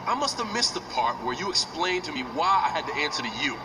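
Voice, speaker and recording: A man's voice: a little high, big pitch swings, fast talker. An actor playing a brash young male wrestler who talks street slang in a video game cutscene. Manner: trash-talking street slang. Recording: distant, roomy, noisy game audio.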